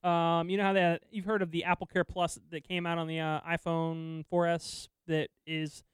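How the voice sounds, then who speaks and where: Chatty guy hosting a tech podcast, high smooth voice, dry close mic. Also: completely flat delivery, normal speed, no room sound. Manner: chatty, laughs often, loose fillers. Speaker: podcast host reading news items. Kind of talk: casual tech news chat.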